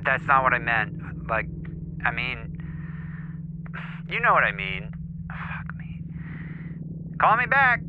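An actor playing an anxious, blunt buddy leaving rambling, fumbling, casual voicemails in a naturalistic audio drama. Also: male voice, medium pitch, huge pitch swings, slow pace, pauses often, lo-fi sound, noisy background. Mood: awkward concern.

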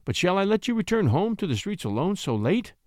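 A man's voice: sits high, big pitch swings, brisk, no echo, studio quality, pitched above the performer's own voice. A man reading a story, playing a tender, naive young poet from the provinces with an eager, earnest manner. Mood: eager, devoted.

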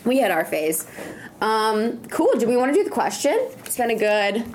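A woman's voice: fairly high pitch, lively intonation, normal speed. A young woman chatting casually as a podcast co-host, with a slangy, blunt, joking manner. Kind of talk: casual chat. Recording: noisy room, clicks.

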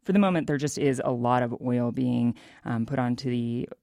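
A woman's voice: very deep voice, normal speed, vocal fry, dark tone, no echo, studio quality. A woman with an American accent speaking as a radio reporter, explaining the news off the cuff in an interview.

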